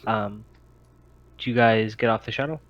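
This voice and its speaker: Man, lowish voice, game master, halting descriptive narration, tabletop roleplay. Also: lo-fi sound.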